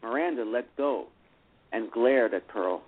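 Husky man narrating over a phone line. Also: mid-range voice. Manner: halting, with frequent restarts. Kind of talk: reading a story aloud in a radio play. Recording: tinny phone-line radio broadcast.

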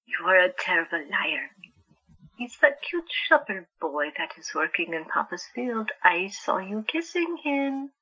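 Actress doing a haunted stubborn young sister in a radio play, bright husky voice, slightly muffled recording. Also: female voice, mid-range voice, very animated, a little slow, exaggerated voice. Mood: teasing. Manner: earnest, insistent, emotional. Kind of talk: audio drama acting.

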